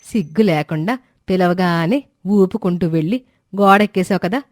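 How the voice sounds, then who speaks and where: A woman voicing an indignant older mother who chides her married daughter, in an animated moral story. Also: lowish voice, normal speed, no room sound, studio quality, lightly acted. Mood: chiding. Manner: chiding, indignant.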